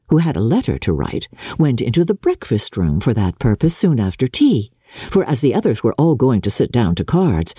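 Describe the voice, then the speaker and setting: A woman narrating classic literature as a dramatic reading, in a measured, expressive storytelling manner. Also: extremely low pitch, husky, dry recording, clean studio sound. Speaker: audiobook narrator.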